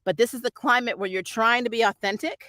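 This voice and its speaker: A woman's voice: on the high side, fast talker, sharp tone, no room sound. Chatty, sweary woman, dry close sound. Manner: rambling, candid and sweary, chuckling often. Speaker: host of a TV and film review channel; actor who auditions. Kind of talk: casual unscripted livestream chat.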